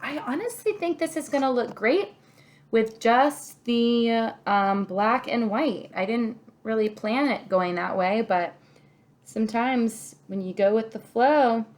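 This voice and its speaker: A woman's voice: a little high, takes it slowly. A woman teaching young children a craft, talking through each step and thinking aloud in an encouraging way. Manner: encouraging, thinking aloud, asks the listener questions. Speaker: kindergarten teacher.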